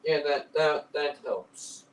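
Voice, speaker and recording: A man's voice: on the high side, slow. A man chatting casually as a cast member during a table reading. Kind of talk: casual chat. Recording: distant mic, faint background noise.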